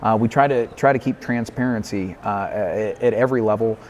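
A man's voice: fairly low pitch, brisk, slight background noise. A man in his thirties, a business owner talking informally in an interview and repeating phrases for emphasis. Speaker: roofing company co-owner being interviewed. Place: large hotel-style lounge, indoors. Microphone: lapel mic.

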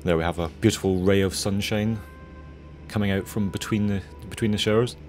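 A young man with a very low voice narrates as a pilot. His commentary is casual and conversational. Soft music plays faintly behind him. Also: fairly even intonation, brisk. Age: young adult.

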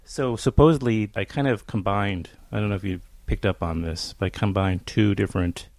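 A man's voice: lowish voice, moderate pace, a little mellow, no room sound. A man hosting an interview, asking loose, rambling questions with frequent restarts. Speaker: podcast host.

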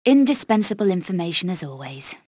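A woman's voice: lowish voice, sing-song, brisk, a little mellow, husky, no room sound. An actress playing a cool, sarcastic female adventurer with clipped, dry lines in a video game. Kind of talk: video game cutscene voice acting.

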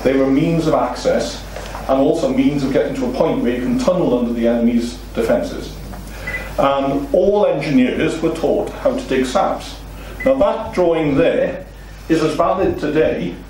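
Welsh lecturer in a very echoey room. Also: male voice, on the high side, normal speed, slight background noise. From Wales. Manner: steady explanatory delivery with rhetorical questions, gesturing freely. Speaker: seminar speaker. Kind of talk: illustrated lecture to a seated audience. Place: meeting room with a seated audience. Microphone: far room recording, no mic seen. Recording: very echoey room, distant mic.

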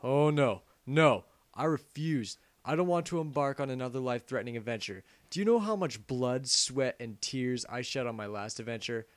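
A man's voice: medium pitch, lively intonation, normal speed, few pauses, close to an everyday voice. A man voicing a cocky, crude, reluctant adventure hero in an amateur parody game dub, with casual comic delivery. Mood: exasperated.

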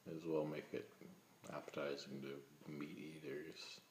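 A man playing a slow, deep-voiced naive guy. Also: little pitch movement, a little hiss or hum. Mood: rambling. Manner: rambling, earnest and awkward, with nervous laughs. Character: naive milk-loving vegetarian young man. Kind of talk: comedic webcam monologue. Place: indoor room at a table. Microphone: laptop webcam, close. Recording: echoey room sound.

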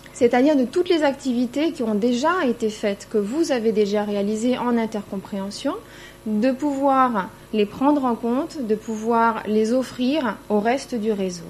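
Woman lecturer explaining stuff at a conference, voice on the high side, faint hum and noise behind her. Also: measured pace, a little mellow. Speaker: university lecturer presenting at a conference. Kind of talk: conference presentation. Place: indoor conference room, seated at a panel table.

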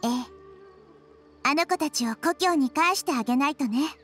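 A woman voicing a gentle, wise little girl deity in an anime game, speaking softly and with composure. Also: sits high, expressive, soft background music. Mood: thoughtful, serious. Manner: soft, composed, feminine phrasing with measured delivery. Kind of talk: anime game dialogue acting.

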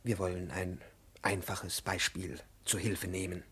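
A man's voice: low-pitched, little pitch movement, unhurried, a bit bright, slightly rough, full character voice. An actor playing a fussy, overpolite, pedantic male professor in a 1950s radio play, with theatrical emphasis and growing exasperation. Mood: coaxing. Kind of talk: 1950s German-language radio play acting.

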